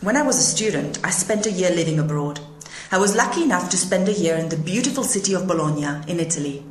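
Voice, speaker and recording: A woman hosting an educational podcast, reading her links in a clear, measured way. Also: lowish voice, expressive, reverberant. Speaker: podcast host. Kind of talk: scripted podcast narration.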